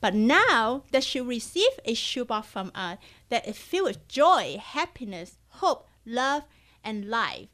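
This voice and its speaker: Hong Kong-accented woman, higher pitch, earnest charity guest, fills pauses with "um". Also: big pitch swings, fairly bright tone. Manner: earnest, uses many fillers, non-native grammar. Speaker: charity area coordinator, guest. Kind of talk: radio interview.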